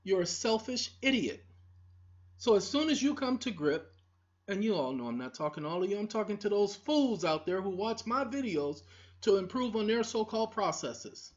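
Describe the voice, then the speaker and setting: Woman video host, preachy confrontational monologue, animated delivery, muffled lo-fi audio. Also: mid-range voice, normal speed. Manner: preachy, scolding, repetitive, talks straight to the audience. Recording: dull lo-fi recording.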